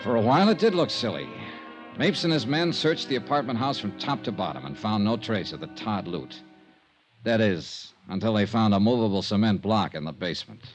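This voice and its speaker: An American actor playing a hard-boiled, sardonic insurance investigator in 1950s radio drama, with clipped lines and dramatic pauses. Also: male voice, fairly low pitch, expressive, natural voice, rough recording. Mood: brisk, wry narration. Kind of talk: 1950s radio detective drama acting and narration. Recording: vintage radio recording with music.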